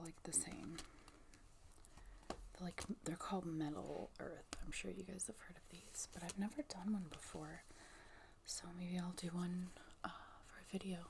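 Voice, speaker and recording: A woman whispering gently in a casual ASMR show and tell, soft-spoken and breathy, close to the microphone. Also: on the low side, background noise.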